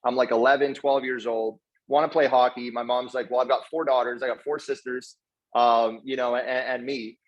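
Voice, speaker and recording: A man's voice: mid-range voice, flat delivery, moderate pace. Man in muffled, lo-fi audio. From Canada, partly raised in South Africa. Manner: earnest, effusively grateful, slangy bro-talk with repeated words. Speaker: guest speaker, network marketer. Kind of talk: informal motivational testimonial. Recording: dull, lo-fi online call audio.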